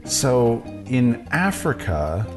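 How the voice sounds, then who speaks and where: A man hosting a casual podcast from a home lounge, relaxed and chatty, asking short follow-up questions. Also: mid-range voice, drawn-out words, over music. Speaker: podcast host.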